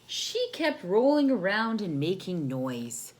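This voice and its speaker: A woman reading a picture book aloud to children in an expressive, teacherly way, putting questions to her young listeners. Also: medium pitch, slow, a little hiss or hum.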